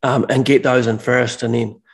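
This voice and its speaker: A man talks through a video call from a large, bare workshop room. His pitch sits in an ordinary middle range, and he wanders through his explanations, restarting and correcting himself as he goes. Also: flat delivery, normal speed, gravelly, noisy background. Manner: rambling, self-correcting explanations. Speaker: mushroom farmer guest. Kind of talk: casual video call chat. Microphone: video call, headphones on.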